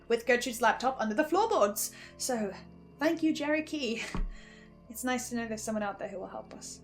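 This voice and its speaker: A woman hosting a story recap, giving scripted commentary with expressive, sardonic theatrical asides. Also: fairly high pitch. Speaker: host of a story-recap show. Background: faint music.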